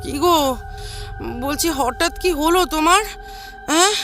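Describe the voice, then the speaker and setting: Casting: concerned, practical wife played by a woman, high-pitched, radio-play delivery, light music underneath. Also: takes it slowly, a bit bright. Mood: alarmed, worried. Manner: anxious, concerned. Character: worried, practical middle-aged wife. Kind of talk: audio drama acting.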